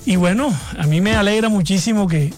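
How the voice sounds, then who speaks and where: A man in his thirties speaks with a Barranquilla costeño accent in a notably high voice. He answers radio interview questions slowly and haltingly, restarting and repeating words. A soft music bed plays underneath. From Colombia, raised in Barranquilla. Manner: hesitant, repeats words while searching. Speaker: guest singer.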